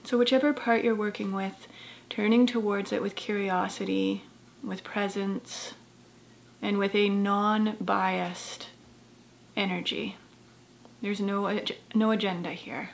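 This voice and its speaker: A woman guides a meditation in a gentle, soft-spoken voice at a medium pitch, with a noticeable creak. She goes unhurriedly, leaving long pauses between phrases. There is some light background noise in the recording. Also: flat delivery. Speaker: therapist guiding a meditation.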